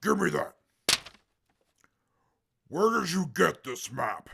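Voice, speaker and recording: Male actor as imperious, scornful ex-scout for radio drama: rough-edged, bright and forceful, short fuse. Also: a little high, big pitch swings, slow, heavily acted. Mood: scornful, bossy. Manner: haughty, scornful, formal wording. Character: arrogant, short-tempered, imperious male former wasteland scout. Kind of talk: post-apocalyptic audio drama acting.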